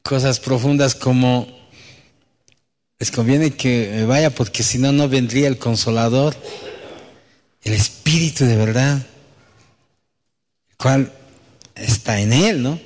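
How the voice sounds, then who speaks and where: A man's voice: medium pitch, slow, long pauses, a little mellow. A man preaching as pastor to his church, speaking conversationally and often ending thoughts with a questioning tag. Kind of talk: sermon. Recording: long hall reverb.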